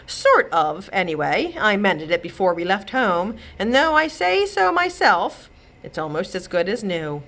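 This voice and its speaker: A woman's voice: mid-range voice, very animated, a little slow, a bit bright. A woman playing a mild, patient fairy husband in a storybook, murmuring and placating his wife. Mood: reassuring.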